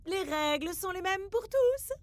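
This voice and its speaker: A woman's voice: extremely high pitch, expressive, a little slow, over loud music. A woman voicing a mocking, falsely sympathetic league official in a cartoon, officious and mock-sincere. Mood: mock sincerity. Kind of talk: animated sports series dub.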